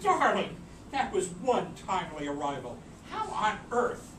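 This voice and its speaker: Storybook comedy: woman as vain, wisecracking mermaid diva, very lively pitch, echoey live room. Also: unhurried. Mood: arch, surprised. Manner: imperious, wisecracking, theatrical. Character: vain, brassy mermaid diva, a sassy cabaret singer. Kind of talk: live dramatic story reading. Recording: noisy, echoey room from far off.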